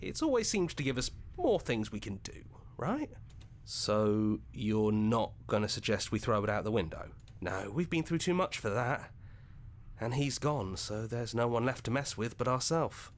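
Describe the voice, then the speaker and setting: A man playing a wry, animated inner voice in a hero's head in a video game. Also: mid-range voice, normal speed, lightly acted. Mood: wry, bantering.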